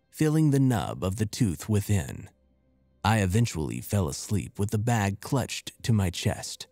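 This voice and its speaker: Mellow man narrating a horror story. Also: fairly low pitch, dry recording. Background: music.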